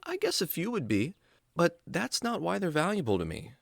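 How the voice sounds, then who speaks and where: A man playing a kindly, patient adventurer who explains things gently and earnestly to his animal buddy in a children's radio drama. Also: fairly high pitch, huge pitch swings, normal speed, vocal fry, no room sound, studio quality, heavily acted. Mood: explanatory.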